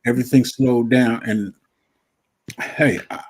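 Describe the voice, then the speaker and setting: A man in his fifties, a guest on a live stream, telling stories in a relaxed way and often repeating himself. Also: mid-range voice, takes it slowly, slight background noise. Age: 50s. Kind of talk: casual chat.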